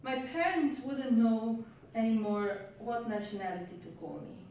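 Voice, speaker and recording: A woman from Yugoslavia, a native Hungarian speaker, performing a spoken-word monologue on a venue stage in a measured, reflective way with wry asides. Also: on the high side, dark tone, slight background noise. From Yugoslavia (Vojvodina). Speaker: stage storyteller. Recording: roomy, distant live hall sound.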